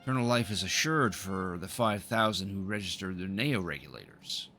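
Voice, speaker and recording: Male streamer, deep and forceful, casually narrating on-screen game dialogue, soft music underneath. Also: moderate pace. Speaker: livestreamer playing a game. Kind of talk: reading game dialogue aloud with casual asides. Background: video game music.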